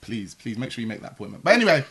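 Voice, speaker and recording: A man's voice: mid-range voice, big pitch swings, racing, a crowd in the background. A young man co-hosting a casual religious commentary chat, playful and jokey as he tells a story. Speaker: co-host.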